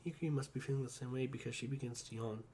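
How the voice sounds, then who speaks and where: A man's voice: medium pitch, fairly even intonation, very fast, mellow, a little hiss or hum. A man reading a visual novel aloud in a playful way, dropping joking asides between lines.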